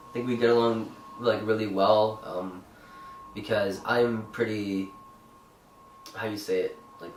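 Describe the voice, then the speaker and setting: A man's voice: lowish voice, fairly even intonation, measured pace, long pauses, far from the mic, slight background noise. Young Canadian man, vlog co-host, loose rambling delivery; echoey room, mic set back. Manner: chatty, rambling with fillers and restarts. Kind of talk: casual chat.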